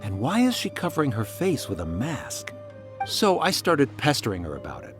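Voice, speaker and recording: A man's voice: mid-range voice, wildly swooping pitch, normal speed. An actor playing an earnest, talkative soldier in a video game, telling his story in an emphatic, confiding way. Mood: friendly, intrigued. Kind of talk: game character dialogue, storytelling. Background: music.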